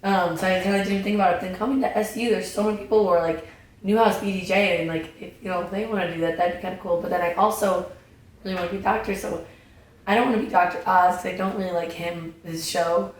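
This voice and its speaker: Young woman rambling, likes, ums, flat delivery, big echoey room, mic far off. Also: on the low side, fast. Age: young adult. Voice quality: raspy. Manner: chatty, many fillers and restarts. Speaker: podcast guest. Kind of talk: casual chat. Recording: echoey room, distant mic, faint noise.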